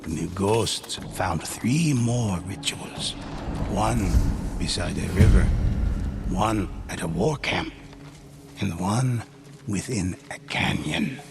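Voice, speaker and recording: An actor playing a solemn storyteller of ghostly samurai legends, narrating a game in a measured, dramatic way. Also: male voice, low voice, creaky, background noise, heavily acted. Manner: slow, dramatic, measured delivery. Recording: music under the voice.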